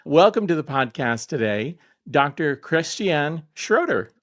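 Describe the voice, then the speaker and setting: A man's voice: on the high side, very animated, a little slow, fairly bright tone. A man hosting a business podcast, chatting casually and introducing his guest, with frequent hesitations. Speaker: podcast host. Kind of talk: interview conversation.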